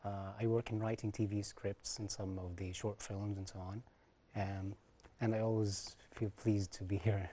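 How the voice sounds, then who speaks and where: A man, a panel guest speaking softly and hesitantly in English. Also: on the low side, level tone, moderate pace, hardly any pauses, slight background noise. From Egypt. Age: 30s.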